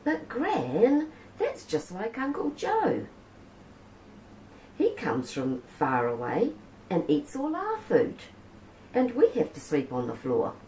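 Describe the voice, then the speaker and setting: A woman's voice: fairly high pitch, wildly swooping pitch, slow pace, long pauses, darkish tone, echoey room, slight background noise, pitched above the performer's own voice, heavily acted, rough recording. A woman voicing a cheeky little granddaughter who teases her uncle in a raised, childlike voice. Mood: teasing.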